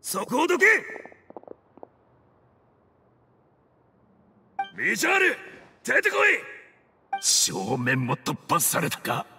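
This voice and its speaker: A proud, grim fanatic commander from a desert video game. His gravelly voice swings widely in pitch as he declaims like a battlefield prophet, ringing out in long reverb over music. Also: huge pitch swings, measured pace, bright, forceful tone, slight background noise, heavily acted. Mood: commanding, fierce. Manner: theatrical, declamatory, rough-spoken. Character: defiant male desert fanatic warband leader, grim and proud. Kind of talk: dramatic video game voice acting.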